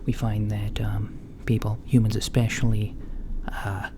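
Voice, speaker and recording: A man playing a mild, puzzled alien doctor in a soft, breathy, often whispered ASMR roleplay, with hesitant, gently deadpan murmuring. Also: lowish voice, measured pace, lightly acted. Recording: lo-fi home recording, faint background noise.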